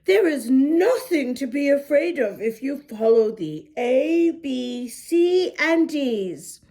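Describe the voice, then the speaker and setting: Storybook hippo girl performed by a woman: playful, kind, bubbly, very high and animated. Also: slow, heavily acted. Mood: reassuring. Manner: bubbly, reassuring. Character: playful, kind young female hippo, reassuring friend.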